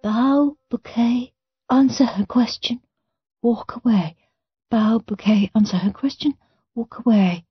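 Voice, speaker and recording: A woman's voice: fairly high pitch, measured pace, breathy edge, no room sound, lo-fi sound, faint music behind, pitched above the performer's own voice. A woman voicing an anxious, well-behaved little boy who is flustered and eager to please, in a storybook reading. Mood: nervous rehearsing. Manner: mumbling, then panicky wailing.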